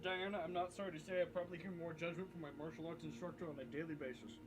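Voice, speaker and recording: A man's voice: fairly high pitch, steady tone, rapid-fire, music in the background, rough recording. A man who is a streamer and voice actor, talking casually and reacting animatedly to his chat.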